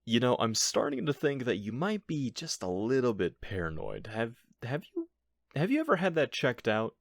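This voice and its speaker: A man's voice: mid-range voice, wildly swooping pitch, moderate pace, no room sound, clean studio sound. A man playing a nervous, bumbling burglar in an audio roleplay, stammering and bluffing with theatrical emphasis and indignation. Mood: defensive, indignant. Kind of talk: ASMR audio roleplay acting.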